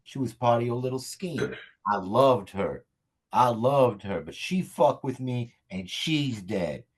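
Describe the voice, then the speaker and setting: A man acting a cocky, vengeful action hero in a comedy table read, with a husky voice and defiant speechifying. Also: mid-range voice, animated, unhurried. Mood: vengeful, menacing.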